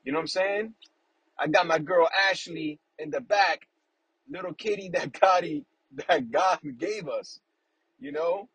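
Husky high-voiced man musing warmly. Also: measured pace, no echo, rough recording. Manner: rambling, fills with "you know what I'm saying"; affectionate, warmly emotional about family. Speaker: podcast host. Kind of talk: casual heartfelt faith talk.

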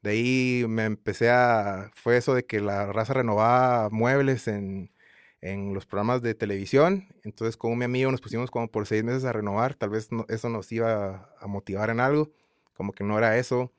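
Laid-back Guatemalan man, low voice. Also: normal speed, smooth, no room sound, studio quality. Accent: Guatemalan Spanish. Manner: relaxed rambling with many fillers and repeated tags. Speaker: guest singer. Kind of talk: casual interview chat.

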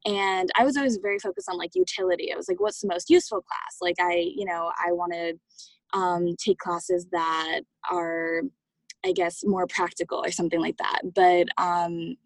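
A woman's voice: on the low side, normal speed, creaky, dry recording, poor audio. A young adult woman answering interview questions in a casual, chatty way, with many "like" and "um" fillers. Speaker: guest.

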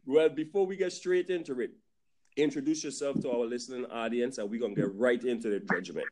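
A man hosting a casual podcast, upbeat and encouraging, dropping quick interjections to keep his guest going. His voice sits at an ordinary middle pitch, with a faint hiss of noise underneath. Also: darkish tone, rough recording. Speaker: podcast host.

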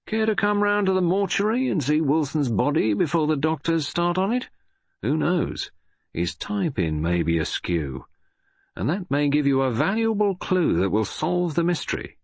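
A man's voice: a little high, sing-song, normal speed, creaky, no room sound, voice pushed up, heavily acted. A man voicing a blunt, jovial police inspector with a hearty, bluff manner.

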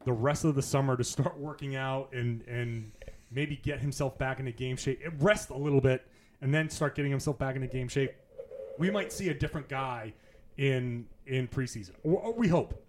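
A man hosting a podcast, talking in an easy, chatty way at a middle pitch. He laughs easily and often starts a sentence over. It is a relaxed in-person conversation. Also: moderate pace, a little hiss or hum. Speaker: podcast host. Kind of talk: casual podcast chat. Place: in-person recording in Las Vegas.

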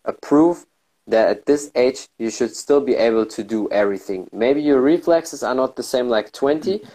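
A man's voice: medium pitch, normal speed, warm tone, low-quality recording. A man hosting a podcast interview, eager and full of compliments for his guest. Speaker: podcast host. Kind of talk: casual interview.

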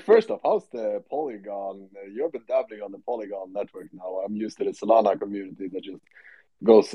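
A man's voice: lowish voice, no room sound. Slangy male host, lo-fi sound. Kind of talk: casual chat in an online audio space. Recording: dull lo-fi sound.

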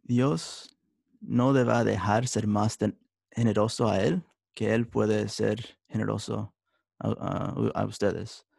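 A young man, a religious brother studying for the priesthood, speaking Spanish as a third language and answering in a halting way with many restarts. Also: on the low side, fairly even intonation, gravelly, a little mellow, breathy edge, no echo. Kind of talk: interview answers.

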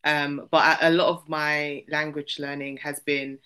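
Chatty young uk woman on a podcast, low voice but bright and forceful, lots of likes and ums. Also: little pitch movement, measured pace, clean tone. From United Kingdom. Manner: chatty, many fillers and restarts. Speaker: podcast guest. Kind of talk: casual chat.

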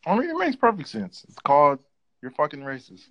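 A man's voice: on the high side, huge pitch swings, a little fast, a bit bright, poor audio. A man co-hosting a casual podcast discussion, blunt and profane. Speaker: podcast co-host.

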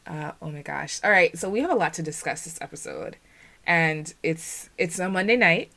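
A woman co-hosting a podcast in casual chat, talkative and quick with asides. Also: sits low, animated, vocal fry, bright, forceful tone. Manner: chatty, sprinkles in "like" and quick asides. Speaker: podcast co-host.

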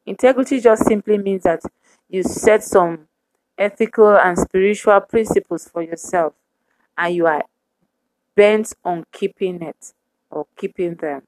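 A woman preaching a sermon to women, speaking in measured, emphatic repetitions. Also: mid-range voice, a little mellow, no room sound, low-quality recording.

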